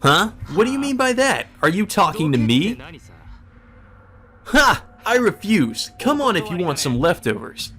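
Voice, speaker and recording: A sneering young mage villain, pitched very high and shrill. He swoops about scornfully, taunting like a spoiled anime antagonist in a visual novel. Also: very animated, unhurried, sharp tone, exaggerated voice. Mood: mocking, scornful. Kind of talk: visual novel character dub.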